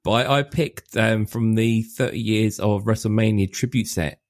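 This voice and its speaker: A man's voice: on the low side, steady tone, moderate pace, no room sound. A man co-hosting a podcast, talking casually and rambling through a list with lots of ums. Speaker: podcast co-host. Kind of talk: casual chat.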